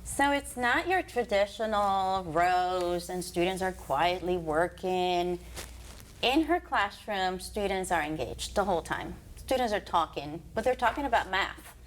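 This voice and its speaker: A woman's voice: mid-range voice, animated, unhurried, slight background noise. A woman school principal from Puerto Rico, composed and proud as she recounts her career in an interview. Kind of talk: interview answers.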